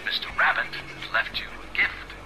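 A man's voice: normal speed, lightly acted, rough recording. An actor playing a sadistic, mocking film director villain in a video game, sneering and theatrical as he gives orders. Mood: gleeful taunting. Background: music.

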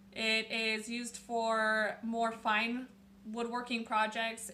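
A woman's voice: on the high side, sharp tone, slight background noise. A young woman hosting a casual woodworking tutorial, chatting while she shows her tools in a small home workshop. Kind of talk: casual how-to demonstration. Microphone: camera on the bench, close.

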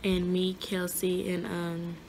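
A young woman in her twenties chatting casually in a vlog from her dorm room, her voice hoarse, filmed on a phone held at arm's length. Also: lowish voice, monotone, slow. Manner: chatty, rambling, everyday. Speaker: vlogger. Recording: noisy phone recording.

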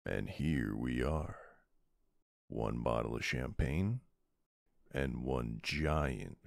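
A man playing a tough but soft-hearted mafia boss husband in an intimate ASMR roleplay, murmuring tenderly with a very deep, gravelly voice. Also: lively intonation, very slow, no room sound, studio quality, exaggerated voice. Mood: warm, relaxed.

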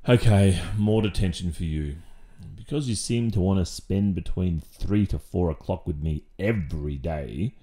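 A man with an Australian accent playing a strict, sarcastic, exasperated maths teacher in an ASMR roleplay, speaking deliberately with pointed pauses. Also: low-pitched, mellow, lightly acted. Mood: annoyed, scolding.

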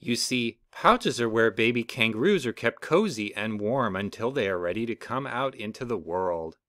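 Lively man reading a picture book. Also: moderate pace, no echo, studio quality. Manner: lively storybook reading with playful pitch jumps. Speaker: reader of a children's book.

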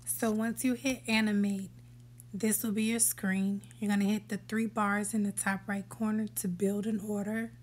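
A woman hosting a screen-recorded app tutorial, giving relaxed step-by-step instructions that sometimes drop to a whisper. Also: mid-range voice, moderate pace. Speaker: tutorial host. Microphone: voice-over for a screen recording.

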